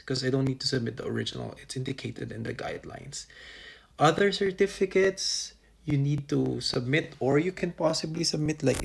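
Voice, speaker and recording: A man's voice: medium pitch, gravelly, husky. A young man from the Philippines walking viewers through a scholarship document checklist item by item, in a casual explanatory way. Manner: talks through a checklist item by item, with restarts and fillers. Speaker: vlogger giving scholarship application advice.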